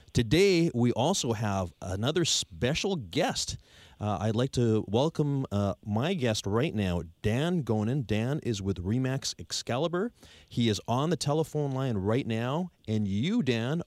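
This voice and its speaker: A man's voice: mid-range voice, darkish tone, no echo. Chatty male radio host, dry studio. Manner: upbeat, chatty, interjects often. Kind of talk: radio talk show.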